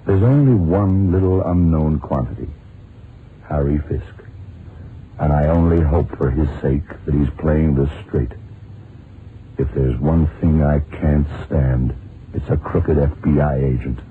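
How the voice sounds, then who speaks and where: A man's voice: a bass voice, a little slow. An actor playing a cool, self-assured detective in a radio crime drama, unruffled and wry as he explains things. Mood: cool, dry. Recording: muffled noisy vintage radio drama recording.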